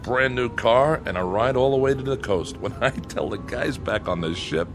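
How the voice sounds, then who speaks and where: An actor playing a brash, easygoing young sailor in a radio drama, with folksy, blunt, slangy delivery. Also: male voice, medium pitch, normal speed, music in the background, lightly acted. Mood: easygoing, friendly. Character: brash, easygoing young sailor hitchhiking back to his ship.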